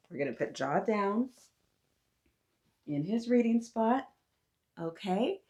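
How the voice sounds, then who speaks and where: A woman's voice: mid-range voice, a little mellow. A woman hosting a read-aloud for young children, talking to her little listeners in an encouraging, teacher-like way, with questions and praise. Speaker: children's story-time host.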